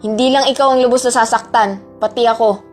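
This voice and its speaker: A woman's voice: a little high, steady tone, a little fast, soft background music, natural voice. Woman acting as a stern, wounded Filipino mother. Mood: furious. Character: hurt, scolding Filipino mother of three. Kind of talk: amateur Tagalog radio drama acting. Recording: background soundtrack under the voice.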